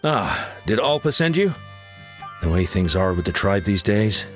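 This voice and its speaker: A man's voice: lowish voice, sing-song, measured pace. A man playing an understanding, easygoing boss in a video game. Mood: accepting. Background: faint music.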